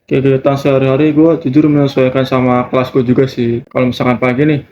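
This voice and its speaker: A man's voice: medium pitch, level tone, fast talker, a little mellow. A nineteen-year-old man, giving casual, slangy answers as an interviewee. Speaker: student interviewee in a group discussion. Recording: muffled, noisy lo-fi recording.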